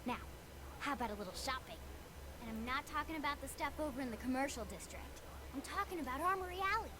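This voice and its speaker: A woman's voice: high-pitched, lively intonation, quick pace, noisy background. An actress playing an eager, chatty young female recruiter in a video game cutscene, with a bubbly, pushy manner. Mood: upbeat, eager.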